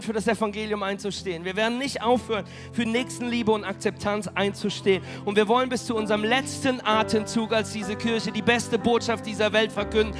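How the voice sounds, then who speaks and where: A man preaching as pastor, energetic and rallying his congregation, with a cold that makes him hoarse. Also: high voice. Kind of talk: sermon. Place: church hall.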